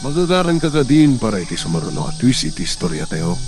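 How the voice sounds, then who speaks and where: A man's voice: mid-range voice, big pitch swings, fast, over loud music. A man narrating for a radio drama channel and asking listeners to subscribe. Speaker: narrator and host. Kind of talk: promo narration.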